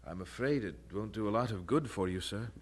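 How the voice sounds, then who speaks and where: An actor playing a devout, stubborn young student, speaking earnestly and respectfully in a radio drama. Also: male voice, fairly low pitch, fairly quick, natural voice. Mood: respectful, troubled.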